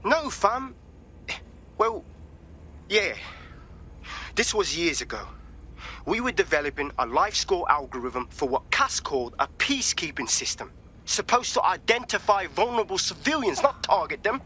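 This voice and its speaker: An actor playing an excitable, slangy hacker with a British accent in a video game. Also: male voice, high-pitched, normal speed, pauses often, a little hiss or hum, exaggerated voice. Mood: agitated. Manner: animated, slangy. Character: excitable male hacker, sharp and street-talking.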